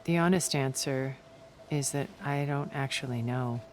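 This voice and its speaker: An actor playing a secretive male schemer in a video game, speaking in a measured, composed way. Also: medium pitch, a little hiss or hum, close to an everyday voice. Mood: reminiscing. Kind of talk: video game cutscene acting.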